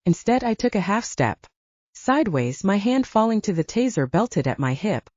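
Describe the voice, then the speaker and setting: A woman's voice: medium pitch, big pitch swings, moderate pace, a little mellow, dry recording, highs cut off. A woman reading scripted fiction as an audiobook narrator.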